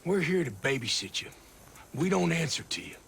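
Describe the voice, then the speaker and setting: Game cutscene role: a crude, threatening hired gun with a gravelly voice. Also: male voice, fairly high pitch, sing-song, moderate pace, fairly bright tone, slight background noise, heavily acted. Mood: taunting. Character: brash crude male mercenary, bullying. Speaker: mercenary contractor. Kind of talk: video game cutscene acting.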